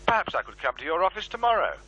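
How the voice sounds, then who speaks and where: Businesslike program maker, forceful bright tone, animated pitch, persuasive, radio play. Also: male voice, racing, slightly rough, highs cut off, full character voice. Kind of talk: radio drama dialogue.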